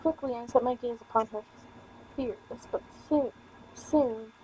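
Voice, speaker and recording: A woman's voice: fairly high pitch, a little slow, mellow, a little hiss or hum, rough recording. A woman reading a visual novel aloud as a streamer, casually, stumbling over words and tossing in irreverent, sweary asides. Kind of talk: casual game let's play, reading story text aloud with offhand comments.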